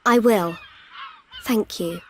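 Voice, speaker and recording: A woman playing a brave, sincere young adventurer in a video game, soft-spoken and grateful. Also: medium pitch, slow, a little hiss or hum, natural voice. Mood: grateful, hopeful. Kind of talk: scripted video game cutscene acting.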